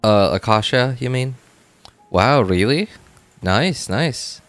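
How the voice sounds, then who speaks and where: A man's voice: medium pitch, expressive, slow, fairly bright tone, soft background music. A man chatting casually on a game livestream, thinking aloud in a rambling way and often repeating himself. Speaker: game streamer. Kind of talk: casual livestream chat while playing.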